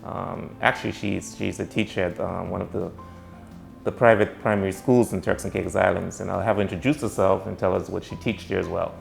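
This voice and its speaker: A man's voice: sits low, fairly even intonation, brisk, creaky, soft background music. A man hosting an online interview show, introducing his guest and putting questions in a conversational way. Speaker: host, interviewer. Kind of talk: interview on a YouTube channel.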